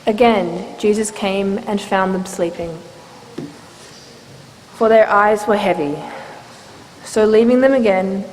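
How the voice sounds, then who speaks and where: A woman narrating scripture in a measured, formal storytelling style. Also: medium pitch, darkish tone. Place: church. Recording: reverberant church hall, background noise.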